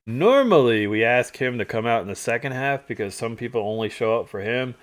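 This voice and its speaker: A man co-hosting a livestream, chatting casually through stories and photos from a trip, animated when he retells moments. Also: mid-range voice, a little fast. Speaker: livestream co-host. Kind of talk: casual storytelling chat over travel photos.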